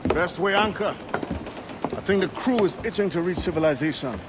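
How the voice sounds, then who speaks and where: Dignified quartermaster, higher-pitched. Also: male voice, lively intonation, very noisy. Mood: businesslike. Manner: formal, measured wording, reserved. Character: dignified, measured male pirate quartermaster. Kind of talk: video game cutscene acting.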